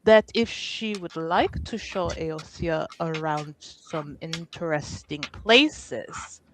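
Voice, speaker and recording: A game master narrating a tabletop roleplaying game in a casual, halting, informal way. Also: medium pitch, slight background noise, low-quality recording. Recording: music playing behind the voice.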